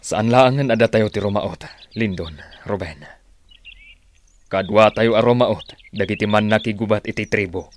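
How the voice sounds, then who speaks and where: Resolute Filipino fighters' chief male actor: fairly deep, calm command, radio drama with faint noise and birds. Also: close to an everyday voice. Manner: measured, authoritative.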